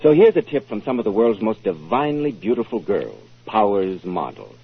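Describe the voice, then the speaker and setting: A man's voice: lowish voice, big pitch swings, normal speed, breathy edge. An American man announcing on 1940s radio with a polished, emphatic sales delivery. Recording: muffled 1940s radio recording.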